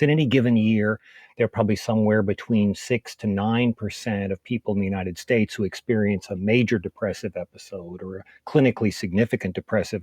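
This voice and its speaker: Casting: male expert interviewee, lowish pitch, measured and methodical explainer, dry recording. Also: a little mellow, dry recording. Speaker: expert guest, psychiatrist and researcher.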